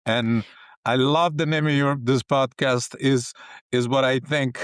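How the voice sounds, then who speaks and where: Italian-accented man, smooth and dry. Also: medium pitch, fairly even intonation, a bit bright, no room sound. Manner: thoughtful, pausing, non-native grammar. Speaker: executive coach and professor. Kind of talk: conversational interview answers. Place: home office, video call.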